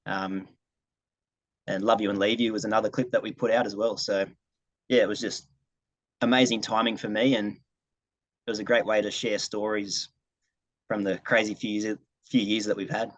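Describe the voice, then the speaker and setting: A man's voice: lowish voice, flat delivery, moderate pace, long pauses, no room sound, low-quality recording. A young Australian man, a singer-songwriter, answering interview questions in a casual way on a video call. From regional New South Wales, Australia. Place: video call, dry room.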